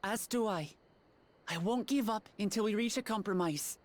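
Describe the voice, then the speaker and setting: A woman's voice: medium pitch, moderate pace, lightly acted. A woman voicing an earnest, bold young princess in a fantasy game, with a determined, declarative delivery. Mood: resolute. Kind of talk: fantasy video game acting. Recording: game music behind the voice. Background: music.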